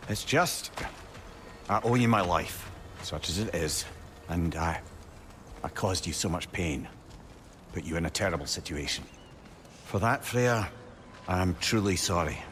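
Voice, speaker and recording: A man's voice: low voice, lively intonation, measured pace, long pauses, a bit bright. A man playing a learned, loyal older advisor in a video game drama, wordy, earnest and courtly in manner. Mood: remorseful. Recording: reverberant, with noisy game ambience behind.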